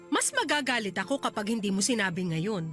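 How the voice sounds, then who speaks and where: A woman playing a frustrated, sharp-tongued wife in a Tagalog radio drama, confrontational and emphatic. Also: medium pitch, animated, fairly quick, lightly acted. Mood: stern, probing. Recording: music bed under the voice.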